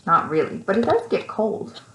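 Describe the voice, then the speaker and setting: A woman with an American accent reading a story to young children, animated, with simple casual asides. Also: medium pitch, normal speed, slight background noise. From Texas, United States. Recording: echoey room.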